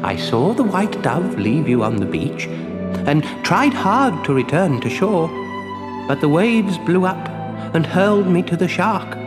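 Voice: mid-range voice, sing-song, measured pace, music in the background, voice pushed up. A storyteller voicing a little old woodcarver father, tender and amazed, in a faltering, emotional way. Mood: earnest recounting.

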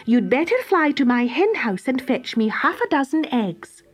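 A woman's voice: sits high, very animated, normal speed, husky, pitched above the performer's own voice, exaggerated voice. A woman doing a sly, fussing young baker. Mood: coaxing. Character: clever young woman baker, cheerfully scheming.

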